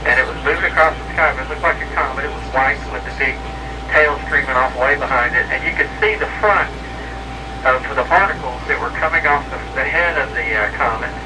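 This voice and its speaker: A man's voice: medium pitch, a little fast. Rambling man on a noisy CB radio. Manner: rambling, repeats and corrects himself. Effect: CB radio transmission. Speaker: hobby CB radio operator. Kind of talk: casual CB radio chat and storytelling. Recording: distant, noisy CB radio transmission.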